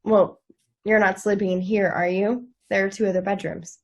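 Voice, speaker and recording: A woman's voice: mid-range voice, steady tone, moderate pace, darkish tone, slightly rough. A woman playing a frank, teasing yet supportive young woman in a TV drama read, conversational in delivery. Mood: teasing, blunt.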